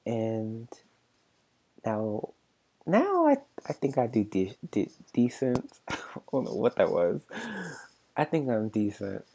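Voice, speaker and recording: A man of twenty-four with a soft voice, hosting a podcast in casual rambling chat, halting and full of fillers and restarts. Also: on the low side, sing-song, slight background noise. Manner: halting, filler-heavy, many restarts and likes. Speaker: podcast host.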